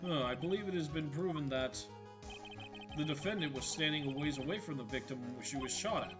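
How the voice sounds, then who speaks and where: A man voicing an old male judge making formal, measured announcements, over game music. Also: a little high, natural voice. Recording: game music playing behind.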